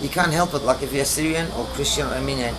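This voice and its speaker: A middle-aged man from Iraq, talking in a casual interview in halting, broken English with many restarts. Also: mid-range voice. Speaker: musician guest. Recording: heavy background noise.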